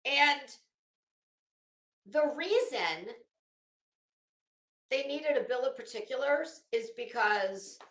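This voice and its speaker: Slow, high-pitched woman giving exasperated commentary. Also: expressive, a bit bright. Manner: emphatic, exasperated, sarcastic, swearing freely. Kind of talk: opinionated legal commentary, unscripted. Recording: roomy, echoing room sound.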